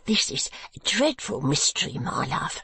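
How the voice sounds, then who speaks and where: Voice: mid-range voice, very animated, a little slow, a bit bright, slightly rough, no room sound, exaggerated voice. A reader voicing a meek, nervous middle-aged stationer who stammers and pleads anxiously.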